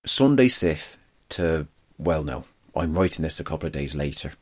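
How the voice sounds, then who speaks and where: Deep-voiced male diary reader. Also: lively intonation, normal speed, darkish tone. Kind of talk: scripted first-person diary reading.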